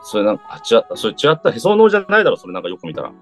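A man's voice: lowish voice, huge pitch swings, rapid-fire, soft background music. A man playing an awkward older brother who runs the family business, improvising hesitantly in a radio drama. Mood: flustered.

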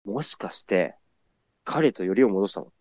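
A man's voice: fairly low pitch, animated, moderate pace, dry recording, clean studio sound. A man acting in a comedic radio drama, teasing and bantering casually.

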